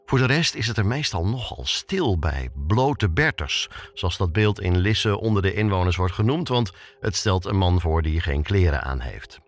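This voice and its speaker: A man hosting a podcast, narrating from a script in a conversational way and addressing the listener directly. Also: on the low side. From Netherlands. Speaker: podcast host.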